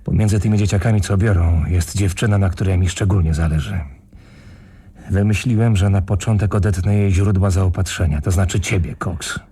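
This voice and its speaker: A man playing a hard, sardonic middle-aged tough guy in a radio play, deadpan, menacing and very raspy. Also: normal speed. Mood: coolly threatening. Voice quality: low, very raspy. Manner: deadpan, menacing, matter-of-fact.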